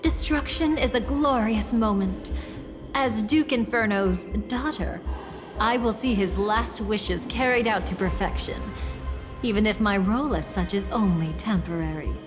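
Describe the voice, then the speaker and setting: Woman acting sly trickster girl, video game, playful and mocking, middle-pitched voice, clear music behind. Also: measured pace, natural voice. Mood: scheming. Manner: playful, mocking, dramatic swings in tone. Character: scheming theatrical young woman, gleeful and greedy.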